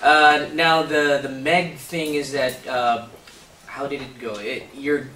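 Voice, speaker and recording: A man's voice: medium pitch, steady tone, slow, sharp tone. A man with a Filipino accent hosting a casual talk show interview, chatty and hesitant, with many uhs and some Tagalog. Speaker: host. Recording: roomy echo, mic at a distance, faint background hiss.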